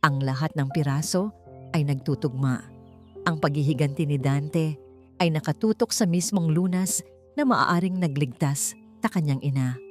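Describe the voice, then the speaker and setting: A woman's voice: sits low, normal speed, a little mellow. A woman narrating a scripted romance drama in a solemn, emotive storytelling manner. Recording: music under the voice.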